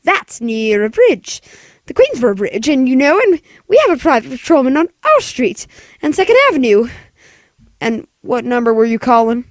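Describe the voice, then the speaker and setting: A woman voicing a gruff, dismissive, weary city police sergeant in a 1940s radio play, with brusque, patronizing delivery. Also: high voice, very animated, normal speed, dry recording. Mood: routine, placating.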